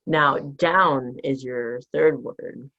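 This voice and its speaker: A man's voice: mid-range voice, extremely slow, gravelly, pushed and bright. A man co-hosting a casual lesson, reading out items with brief, matter-of-fact prompts. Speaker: co-host reading vocabulary words. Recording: dull, muffled sound.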